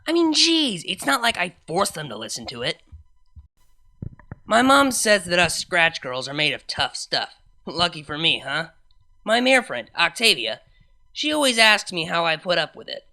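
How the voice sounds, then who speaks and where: Brash, sardonic young DJ mare played by a woman for a cartoon fan story; bright, forceful, slangy delivery with big pitch swings. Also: big pitch swings, moderate pace, creaky, exaggerated voice. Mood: wry, boastful. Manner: chatty, slangy, wry storytelling asides. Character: brash young female unicorn nightclub DJ, sardonic, exasperated. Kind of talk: first-person fan fiction narration.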